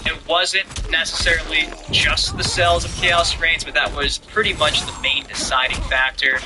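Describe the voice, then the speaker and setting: A man's voice: on the high side, fairly even intonation, normal speed, over music. A man giving casual video game news commentary as a YouTuber, informal and slangy, speaking straight to his viewers.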